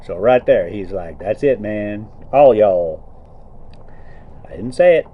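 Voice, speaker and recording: Folksy man, animated but slow-paced. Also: lowish voice, narrow, tinny audio. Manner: folksy, colloquial, theatrical when reading. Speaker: vlog host reading a story aloud while driving. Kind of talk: casual story reading with reactions and asides. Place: inside a motorhome being driven.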